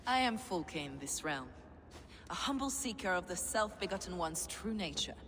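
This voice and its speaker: A woman's voice: on the low side, expressive, normal speed, a bit bright. An actress playing a devout, cryptic heretic mystic in a video game, formal and fervent in her speech. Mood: intrigued, assured. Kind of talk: dramatic game acting. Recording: clearly noisy game ambience behind.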